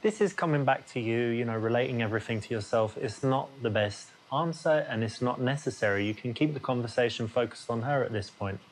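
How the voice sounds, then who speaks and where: A man playing a confident dating coach who calmly advises the player. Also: mid-range voice, a little fast, hardly any pauses, slight background noise, natural voice. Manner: matter-of-fact, advising. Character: confident male dating coach giving advice. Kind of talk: instructive commentary.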